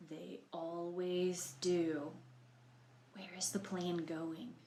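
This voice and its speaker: A woman's voice: lowish voice, flat delivery, drawn-out words, warm tone, a little hiss or hum. A woman reading a picture book aloud to children, expressively, with explanatory asides and questions for the listeners. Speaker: library storytime reader. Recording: roomy, echoey sound.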